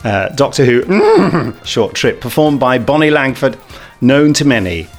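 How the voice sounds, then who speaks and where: Man, mid-pitched, casual podcast co-host, bumbling and self-deprecating, faint music underneath. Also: huge pitch swings, a little slow. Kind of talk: casual, jokey podcast news chat.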